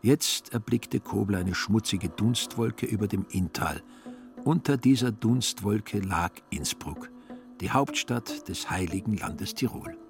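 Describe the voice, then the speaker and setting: A man narrating a literary radio play in a measured, ironic storytelling manner. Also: on the low side, expressive, over music.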